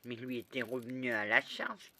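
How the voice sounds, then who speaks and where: A woman telling a rambling, lively story in a casual spoken way, full of restarts and asides. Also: extremely low pitch, moderate pace. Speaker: storyteller recounting past lives.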